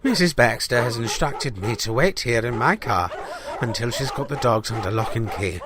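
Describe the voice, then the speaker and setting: A man playing a pompous, bumbling small-town newspaper man who rambles in a deadpan way through a comedic audio diary. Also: medium pitch, normal speed, a crowd in the background, close to an everyday voice. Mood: weary, bemused. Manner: rambling, self-important, deadpan. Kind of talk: comedic audio diary monologue in 1970s setting.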